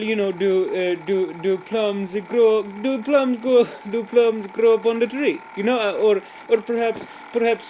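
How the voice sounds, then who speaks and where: A cheerful, rambling joke-teller played by a man, stumbling through fillers and restarts in broken grammar. The voice sits high. Loud traffic noise runs behind the recording. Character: rambling, cheerful adult man telling pointless jokes. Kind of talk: comic character monologue.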